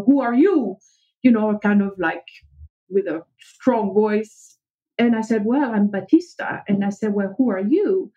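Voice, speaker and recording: A woman's voice: a little high, darkish tone, dull audio. A woman from French-speaking Switzerland, a native French speaker with a French accent, telling her story earnestly as a podcast guest.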